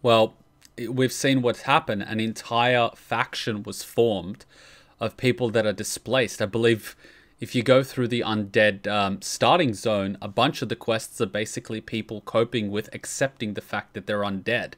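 A man's voice: fairly low pitch, steady tone, normal speed, fairly bright tone. A man casually explaining game lore on a livestream, swearing freely as he goes. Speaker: streamer and lore commentator. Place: indoor room at a streaming setup.

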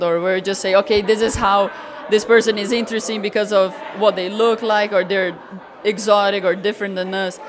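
A woman photographer from Brazil talks through her work in a bright gallery. She speaks thoughtfully and often stops to search for the right word. Her voice is picked up on a handheld mic that the interviewer holds out to her. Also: medium pitch. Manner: thoughtful, searching for words with pauses. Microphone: handheld mic held by interviewer.

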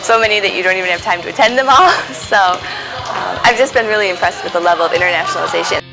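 A woman's voice: fairly low pitch, quick pace, vocal fry, crowd noise, rough recording. Young American woman, chatty interview. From United States, Michigan. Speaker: graduate student. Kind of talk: interview testimonial. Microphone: lapel mic. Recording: music bed behind voice.

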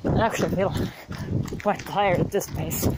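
A man's voice: sits high, steady tone, normal speed. A man talking in short, breathless bursts while running on an outdoor trail, recorded on a handheld phone. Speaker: vlogger. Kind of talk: casual vlog while running. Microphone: handheld phone camera, close. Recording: loud wind noise on a phone mic.

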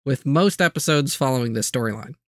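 A man's voice: medium pitch, expressive, fairly quick, dry recording, studio quality. A man hosting a video channel, narrating a scripted overview with light, self-aware asides.

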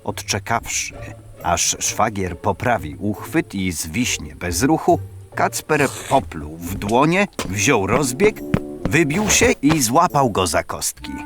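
A man narrating an audio drama as a storyteller, with measured, expressive literary delivery. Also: fairly low pitch. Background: background music.

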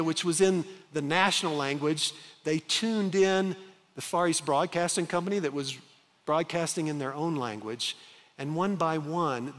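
A man in his fifties preaches from a large church stage on a headset mic, his voice sitting a little high for a man. He is earnest and emphatic, slowing down for dramatic pauses, and a long hall reverb trails behind each phrase. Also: fairly bright tone. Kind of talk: sermon.

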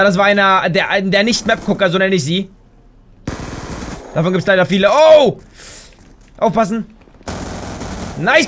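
A young man gives excitable commentary over a headset while he plays, his voice very high and bright. He breaks into shouts when things go wrong. He sounds slightly hoarse and tired, and faint game gunfire sits under his voice. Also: slight background noise. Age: young adult. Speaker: gaming YouTuber. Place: home gaming room, indoors.

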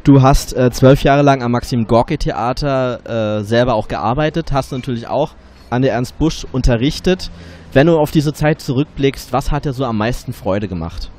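Guy in his 20s doing interviews outside a cafe, mid pitch, voice a bit off from a cold. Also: moderate pace, a little mellow, slight background noise. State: slight cold. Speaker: interviewer and host. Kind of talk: casual on-camera interview. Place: outside a café in the city. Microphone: handheld reporter microphone.

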